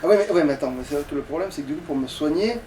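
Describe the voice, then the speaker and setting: Male let's player, high-pitched voice, grumbling casual commentary, distant mic. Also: animated, rapid-fire, a little mellow. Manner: sweary, grumbling. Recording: distant mic, faint background noise.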